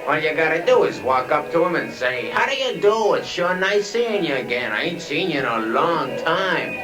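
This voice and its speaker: Guy acting a cocky tough-guy crook, kinda high swingy voice, old radio comedy w music behind. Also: sing-song, moderate pace. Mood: instructing. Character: boastful, bossy small-time pickpocket, tough-guy man.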